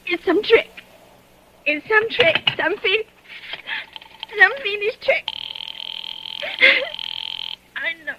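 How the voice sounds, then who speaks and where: A woman's voice: very high voice, slow pace, pauses often, a little hiss or hum. An actress playing a nervous, demanding invalid woman in a 1940s radio play, stammering and tearful. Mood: fearful, tearful. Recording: dull lo-fi 1940s radio broadcast.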